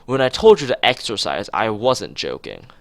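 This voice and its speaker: A man's voice: lowish voice, huge pitch swings, normal speed, gravelly, fairly bright tone, heavily acted. A man voicing a stern but cheerful male school nurse in a visual novel, firm and lecturing. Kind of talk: visual novel dialogue read aloud.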